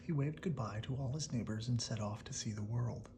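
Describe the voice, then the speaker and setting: A storyteller reading a picture book aloud in a hushed bedtime style, often dropping to a whisper. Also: medium pitch, brisk, noisy background.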